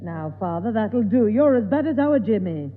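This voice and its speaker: A woman's voice: mid-range voice, very animated, natural voice. Casting: woman as harried, scolding mum, middle-aged, thin hissy vintage radio sound. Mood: dismayed, scolding. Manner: brisk, scolding. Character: brisk, long-suffering middle-aged mother. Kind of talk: 1960s radio sitcom acting. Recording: tinny, hissy 1960s radio broadcast.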